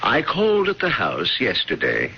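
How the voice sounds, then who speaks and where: An actor playing a learned, cautious witchcraft professor with formal, deliberate speech in an old-time radio drama. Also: medium pitch, huge pitch swings, vocal fry, husky, full character voice. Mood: grave, suspicious. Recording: muffled, noisy old radio broadcast.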